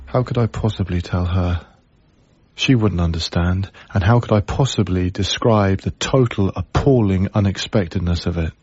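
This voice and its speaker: A man's voice: low voice, moderate pace, a little mellow, breathy edge, no room sound, muffled recording. An actor playing a heartbroken, guarded young man, wry and reflective, in a radio drama. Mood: brooding, reflective. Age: young adult. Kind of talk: radio drama acting with intimate first-person narration.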